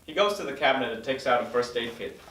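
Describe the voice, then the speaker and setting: A man's voice: mid-range voice, expressive, fast, a bit bright, a little hiss or hum. A man reading stage directions as scripted narration at a table read in an echoey room. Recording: echoey room, distant mic.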